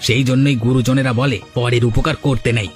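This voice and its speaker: A man voicing a sly, boastful village thief and husband in an animated folk tale. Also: mid-range voice, fairly quick, faint music behind, close to an everyday voice. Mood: worried, scheming.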